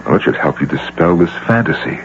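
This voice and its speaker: An American actor playing a worried, reasonable gentleman confidant in a radio drama, earnest and a little hesitant. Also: low voice, animated, quick pace. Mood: cautious reasoning. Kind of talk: 1980 radio drama acting. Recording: muffled radio broadcast with hiss and hum.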